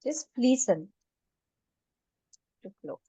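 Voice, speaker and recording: A woman takes a small online class through German, switching easily between English and German. Her voice sits a little high and sounds dry and close to the mic. She explains patiently, one step at a time. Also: very animated, takes it slowly, mellow, slightly rough, no echo. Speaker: German teacher.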